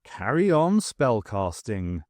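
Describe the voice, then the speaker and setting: A man voicing a wry, quick-witted adventurer woman who talks in a dry, slightly nervous way. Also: on the low side, very animated, a little mellow, no echo, voice pushed down.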